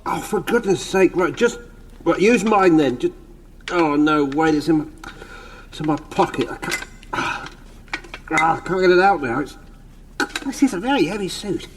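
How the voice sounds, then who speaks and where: A man's voice: a little high, expressive, moderate pace, long pauses, a little hiss or hum, lightly acted. An actor playing a hapless, childishly enthusiastic man in a suit of armour, blustering and stammering in farcical radio sitcom style. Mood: flustered, panicky.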